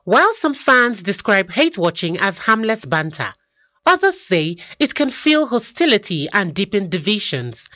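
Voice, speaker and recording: Lively woman presenting radio news. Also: medium pitch, unhurried, dry recording, muffled recording. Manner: lively, clearly paced delivery.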